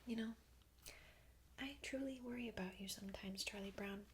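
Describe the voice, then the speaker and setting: A woman voicing a bossy, know-it-all cartoon girl in a breathy, soft-spoken ASMR roleplay, close to the microphone. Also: mid-range voice, normal speed, a little hiss or hum, lightly acted. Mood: condescending. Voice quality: breathy whisper.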